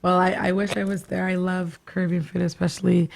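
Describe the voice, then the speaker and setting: A woman hosting a casual radio chat, her voice raspy and hoarse after a cough, talking in a halting way and laughing often. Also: fairly low pitch, level tone. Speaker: radio show host, spiritual doula.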